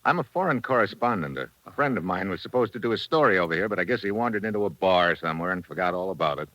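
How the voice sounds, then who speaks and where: An actor playing a wry, tough American secret agent in a radio drama, with a sardonic, laconic delivery. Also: male voice, sits low, animated, fast, bright, forceful tone, no room sound. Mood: dryly casual. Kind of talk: 1950s radio acting.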